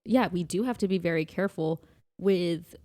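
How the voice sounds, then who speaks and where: A woman's voice: on the low side, normal speed, dark tone. Emphatic woman chatting casually. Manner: emphatic, opinionated, informal. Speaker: podcast co-host. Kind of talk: casual conversational discussion.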